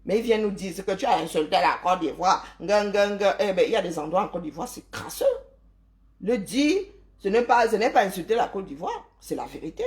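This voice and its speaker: A woman's voice: medium pitch, expressive, fairly quick. Emphatic woman lecturing her viewers. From Cameroon. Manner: emphatic, lecturing, full of rhetorical questions and "hein". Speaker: live-stream host. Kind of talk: opinionated live-stream monologue.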